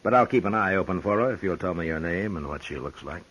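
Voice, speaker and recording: A gruff, laconic frontier lawman in an old radio western. The American voice is low and husky, steady and full of authority. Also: fast, exaggerated voice, poor audio. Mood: dry, businesslike. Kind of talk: 1950s radio western acting. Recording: 1950s radio drama recording.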